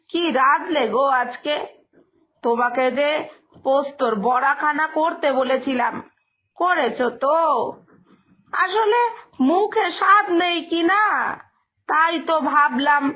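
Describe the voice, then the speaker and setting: A woman's voice: high-pitched, slow, pauses often, bright, forceful tone, exaggerated voice, rough recording. A woman voicing a querulous older village mother-in-law in a cartoon story, complaining and scolding. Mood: weary, plaintive.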